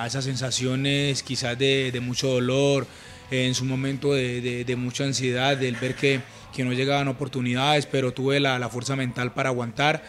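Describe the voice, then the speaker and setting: Earnest, flat-voiced Colombian man. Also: medium pitch. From Urabá, Colombia. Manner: earnest, calm, many small restarts and fillers. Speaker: interviewed footballer. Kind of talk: reflective interview answers. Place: living room, on a sofa indoors. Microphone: handheld mic held at chest.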